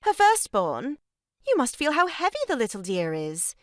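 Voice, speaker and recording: Woman acting a high, swooping, theatrical pushy lady. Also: huge pitch swings, normal speed, dry recording, studio quality. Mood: coaxing. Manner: dramatic, insistent, mannered. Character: pushy, theatrically pious young lady relative of farce. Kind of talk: stage farce audio drama acting.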